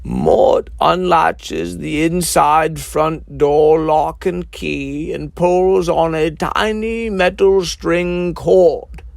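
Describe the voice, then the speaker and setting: A man reading his own scripted dark comedy story as narrator, deliberate and dramatic with measured pauses. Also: a little high, expressive, vocal fry, no echo, studio quality.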